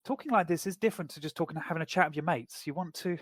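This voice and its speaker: A man's voice: on the high side, racing, dry recording, studio quality. A man co-hosting a podcast, casually discussing film and TV in a wordy, explanatory way. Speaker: podcast co-host.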